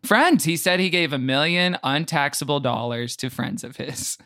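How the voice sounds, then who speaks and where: A man's voice: a little high, normal speed, sharp tone, no room sound, clean studio sound. A man, a podcast guest and comedian, chatting casually with a wry, joking manner. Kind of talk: casual chat.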